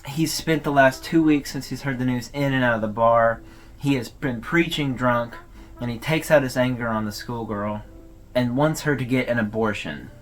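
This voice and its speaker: Man, mid-range pitch, casual storytelling commentary, informal wording, video host explaining. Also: moderate pace, soft background music. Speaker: video host recapping an online horror series.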